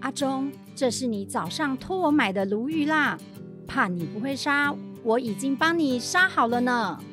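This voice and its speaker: A woman's voice: high-pitched, lively intonation, normal speed, music in the background. A woman with a Hokkien-tinged Taiwanese Mandarin accent acting a helpful, chatty neighbour auntie in a radio drama.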